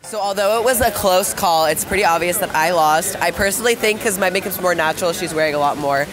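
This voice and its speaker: A man's voice: sits high, fast, a bit bright, soft background music, poor audio. A young man hosting a vlog, cheerfully asking strangers on a busy city sidewalk to rate makeup, with a giggly, upbeat manner. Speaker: vlog host. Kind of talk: casual street interview chat.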